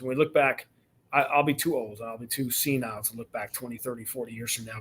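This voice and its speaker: A man, a radio play-by-play announcer, giving emphatic sports analysis as a guest, with quick restarts. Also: mid-range voice, bright, forceful tone, breathy edge. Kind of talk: casual sports interview.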